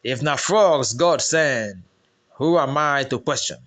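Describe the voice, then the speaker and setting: Easygoing village husband, slow and joking, Pidgin turns of phrase, for a folktale, played by a male narrator. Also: medium pitch, lively intonation, fairly bright tone. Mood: amused.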